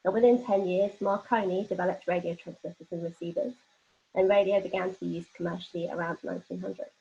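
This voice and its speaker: A woman with a fairly low voice leads a workshop, explaining things in an informal way. She talks through slides and uses everyday examples. The recording is somewhat dull and lo-fi. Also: steady tone, fast talker, vocal fry, warm tone. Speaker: workshop leader, presenter. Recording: dull, lo-fi band-limited audio.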